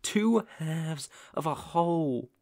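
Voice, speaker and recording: A man's voice: a little high, expressive, slow pace, gravelly, dark tone, no echo, full character voice. A young man playing a possessive, unhinged yandere boyfriend in an ASMR roleplay, sugary and menacing, theatrical and coaxing with sudden intense swells, close on the microphone. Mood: obsessive adoration. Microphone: close studio microphone.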